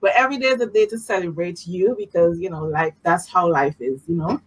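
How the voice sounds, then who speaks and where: A woman's voice: on the low side, fairly quick, breathy edge, rough recording. A woman hosting a casual live-streamed interview, effusive and encouraging in the way she talks. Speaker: host. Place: video call live stream.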